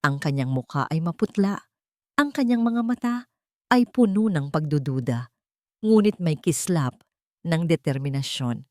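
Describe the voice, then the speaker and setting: A woman's voice: low-pitched, expressive, a little slow, a little mellow, no echo, clean studio sound. A woman reading a scripted dramatic audio story as its narrator.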